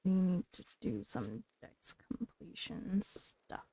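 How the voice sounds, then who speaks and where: Slow, creaky-voiced woman streaming a game. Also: fairly low pitch, very animated, warm tone. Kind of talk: casual livestream gameplay commentary.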